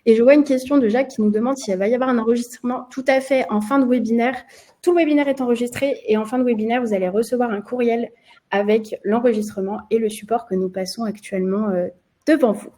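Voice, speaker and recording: A woman's voice: fairly high pitch, fairly even intonation, quick pace, mellow. A woman from France with a French accent, hosting a live webinar in a chatty way with many hesitations. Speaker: marketing presenter hosting a webinar.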